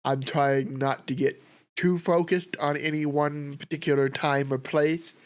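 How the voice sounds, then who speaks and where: A man with a sore throat from a cold, hosting a history reaction with relaxed, rambling commentary. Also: on the high side, measured pace. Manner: relaxed, rambling, thinks aloud with fillers. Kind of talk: casual commentary.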